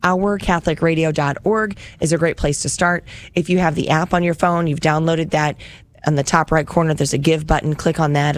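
A woman's voice: low-pitched, no echo. A woman radio host chatting with guests in a live interview and appealing for pledges. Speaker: radio host on a fundraising broadcast.

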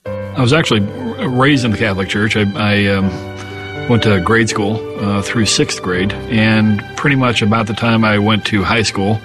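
Man giving an earnest testimony, low voice, heavy music bed. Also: steady tone, moderate pace, low-quality recording. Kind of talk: personal testimony.

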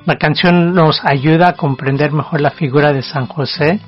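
A male radio co-host introducing a song segment in a measured tone. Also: on the high side, steady tone, lo-fi sound, faint music behind.

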